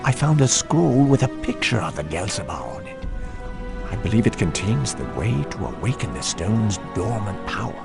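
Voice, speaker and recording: An actor playing an ailing, haunted lord of a cursed manor, telling his tale gravely and ominously in a dramatic game cutscene. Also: fairly low pitch, big pitch swings, normal speed, over music. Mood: weary, earnest.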